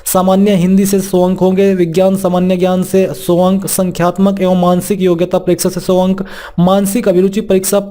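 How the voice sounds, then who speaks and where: A man's voice: high voice, completely flat delivery, fairly quick, warm tone. A man presenting an informal instructional walkthrough, reading formal text and restating it plainly. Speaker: presenter of a job vacancy explainer.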